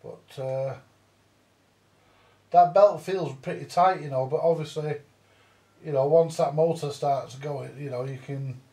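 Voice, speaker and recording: British man, gravelly, muttering in a roomy space. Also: a little high, fairly even intonation, measured pace, pauses often, warm tone. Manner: muttering to himself, swearing mildly. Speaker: vintage audio repairer. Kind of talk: casual hands-on repair commentary. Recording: roomy echo, distant mic.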